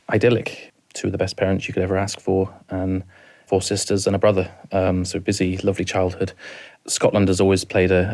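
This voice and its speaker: Halting, low-voiced Welsh man. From rural mid Wales. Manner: halting, repeats words, soft-spoken. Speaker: guest. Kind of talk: emotional personal storytelling.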